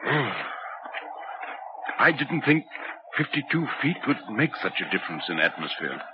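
Casting: inquisitive, polite gentleman played by a man, theatrical and very animated intonation, old radio play with dulled sound. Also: medium pitch, moderate pace, natural voice. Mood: puzzled. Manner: earnest, formal, theatrical. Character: curious, courteous gentleman visitor, earnest narrator of ghost story. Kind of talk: 1940s radio drama acting. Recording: dull, noisy 1940s radio recording.

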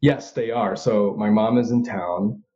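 A man's voice: on the low side, a little slow, dark tone, lo-fi sound. A man from Florida, a guest and author, talking earnestly and reflectively in an interview, with light laughs. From Florida, USA. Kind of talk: candid interview conversation.

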